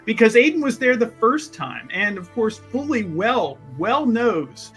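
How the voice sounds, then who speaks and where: A man with a high voice talks as a player in a tabletop roleplaying game. He is wordy and deliberate, with faint music behind him. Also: big pitch swings, fairly bright tone. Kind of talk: casual game-table chat.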